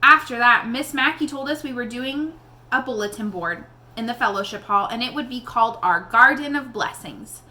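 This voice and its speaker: A woman reading a children's novel aloud in an expressive storybook style. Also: fairly high pitch, moderate pace. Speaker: read-aloud reader addressing young listeners.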